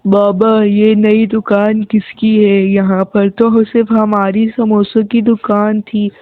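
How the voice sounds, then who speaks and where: A worried young schoolboy played by a voice performer in a cartoon moral tale. He pleads earnestly at a slow pace with little pitch movement. The sound is narrow and phone-like. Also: flat delivery, no echo, lightly acted. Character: earnest, worried young schoolboy son of a poor samosa seller. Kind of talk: cartoon moral-story dialogue. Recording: thin, phone-like, dry audio.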